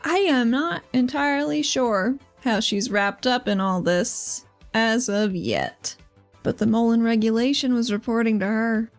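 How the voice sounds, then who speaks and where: A guarded, defiant woman who leads mercenaries, voiced by a woman at a game table. Her voice sits a little high and she speaks in a dry, deliberate way, with faint music underneath. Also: animated.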